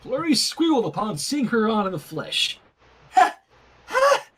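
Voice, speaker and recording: A storyteller narrating fan fiction in an animated style with a slightly husky voice. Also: medium pitch, normal speed.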